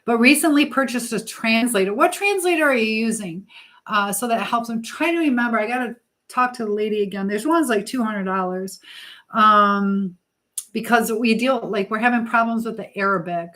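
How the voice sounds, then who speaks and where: A woman's voice: fairly high pitch, moderate pace, fairly bright tone. Rambling, chatty woman livestreamer. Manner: rambling, chatty, hops between topics and viewers. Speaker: livestream host. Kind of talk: casual live chat, answering viewer comments.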